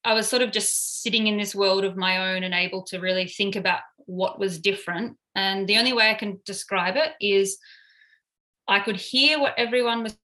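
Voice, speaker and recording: Aussie woman in her 30s, bright voice, lots of ums, talking it through casually. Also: mid-range voice, normal speed, poor audio. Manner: hesitant, many fillers. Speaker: paramedic interviewee. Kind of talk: casual recounting in an interview.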